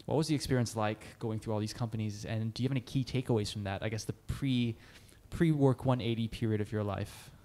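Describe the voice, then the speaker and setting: A young man hosting a studio podcast, speaking into a boom-arm mic in a bright room. His voice sits on the low side and his manner is encouraging, and he asks long, winding questions. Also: steady tone, brisk, few pauses. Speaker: podcast host. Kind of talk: interview hosting.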